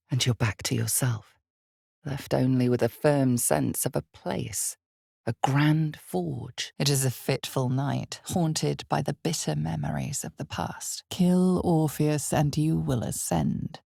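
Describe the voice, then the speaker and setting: Ominous female game narrator, very deep, gravelly, solemn, theatrical, knowing, slow weighty pauses. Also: darkish tone, no room sound, studio quality, full character voice. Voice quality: husky, breathy, low. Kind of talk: dramatic scripted second-person narration of a fantasy game.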